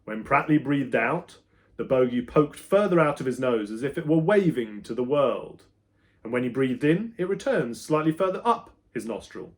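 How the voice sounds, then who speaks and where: A man reading his own children's book aloud as an expressive storyteller, with dramatic emphasis that moves between loud and hushed. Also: medium pitch, moderate pace.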